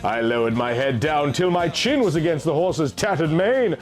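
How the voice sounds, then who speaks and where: A man reads a fantasy story aloud as narrator, theatrical and emphatic. His voice is fairly high and swings widely in pitch, with music playing faintly underneath. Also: expressive, normal speed. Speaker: narrator, reader. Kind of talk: dramatic reading of a first-person fantasy story.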